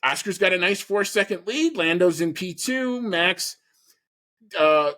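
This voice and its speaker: A man with a fairly high-pitched voice hosts a sports podcast. He recaps the action in a casual, animated way, putting emphasis on his opinions. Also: a little slow. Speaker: podcast host.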